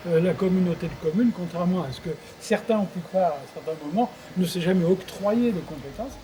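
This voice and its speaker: A high-voiced man speaks as an interviewed local official, reflecting at length. His delivery is halting, with repeated words as he searches for phrasing, and there is clear background noise around him. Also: mellow. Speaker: former president of an intercommunal council, interviewed. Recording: clearly noisy background ambience.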